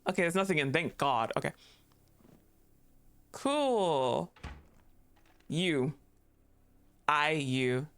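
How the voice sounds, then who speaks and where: A woman's voice: low voice, lively intonation, extremely slow, long pauses, smooth. A woman streaming a game live, giggling often, swearing freely and gushing thanks to her chat.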